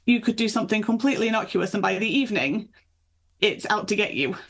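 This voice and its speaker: A woman with a mid-range voice and a noticeable creak talks as a guest author. She explains things in an enthusiastic, conversational way, as in an interview. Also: medium pitch, expressive, fast, fairly bright tone. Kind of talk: conversational interview chat about folklore.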